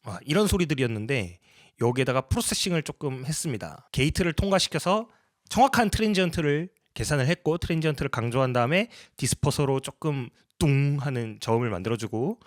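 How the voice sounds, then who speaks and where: A man's voice: medium pitch, animated, fairly quick, dry recording, clean studio sound. A man narrating a casual tutorial as a sound designer, explaining each step politely.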